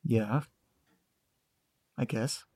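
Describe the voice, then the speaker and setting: A man's voice: medium pitch, very slow, warm tone, slightly rough, dry recording, exaggerated voice. A man acting in an audio drama as a guarded, sarcastic grandson who is detached from family trauma, speaking in a dry, hesitant, clipped way. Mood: evasive.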